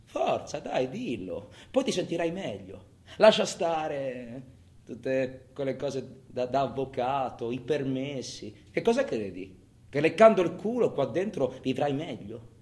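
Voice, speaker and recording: A man playing a cocky, menacing robber who taunts his partner in crime in a theatrical monologue, swinging between loud bragging and quiet menace. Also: mid-range voice, big pitch swings, measured pace. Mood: goading, accusing. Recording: echoey room, faint background noise.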